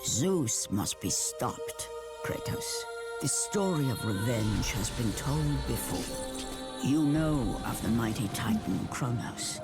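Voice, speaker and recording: An actor playing an ancient Titan guide, narrating an epic video game tale in a solemn, portentous manner. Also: male voice, medium pitch, expressive, takes it slowly, over loud music. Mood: solemn storytelling.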